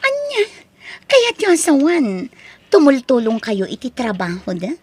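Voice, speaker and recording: A woman's voice: sits high, huge pitch swings, unhurried, a little mellow, no echo, full character voice. A woman acting an envious, gossiping married neighbour in an Ilocano audio drama, brash and needling. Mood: spiteful, needling.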